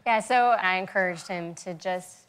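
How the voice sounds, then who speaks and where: A woman with a fairly low voice tells family stories as a guest on a TV studio couch. She recounts her anecdotes with lively, chatty energy and speaks into a clip-on mic. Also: normal speed, clear, resonant tone. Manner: storytelling, animated anecdotes. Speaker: guest, mother of five. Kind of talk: casual talk show interview.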